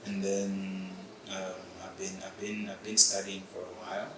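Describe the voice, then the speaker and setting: Young man, Malawian accent, relaxed interview chat, low-ish bright voice, echoey room. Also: normal speed, noisy background. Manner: relaxed, laughing, repeats words when starting. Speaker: musician guest.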